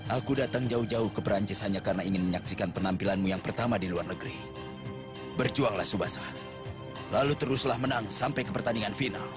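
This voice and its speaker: A man voicing an earnest cartoon soccer coach, solemn and measured as he encourages. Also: lowish voice, lightly acted. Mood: quiet encouragement. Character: earnest adult male soccer coach and mentor. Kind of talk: dubbed cartoon acting. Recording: loud music over the voice.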